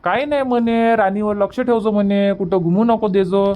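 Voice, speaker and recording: कार्टूनमधल्या मायाळू, पुन्हा पुन्हा समजूत काढणाऱ्या मामाला वैदर्भीय मराठीत आवाज देणारा पुरुष. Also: male voice, high voice, steady tone, moderate pace, a little mellow, slight background noise, close to an everyday voice. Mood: matter-of-fact. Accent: Vidarbha Marathi. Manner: repetitive, reassuring. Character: kindly village uncle, soothing and grumbling about stingy granny. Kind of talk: cartoon comedy dubbing.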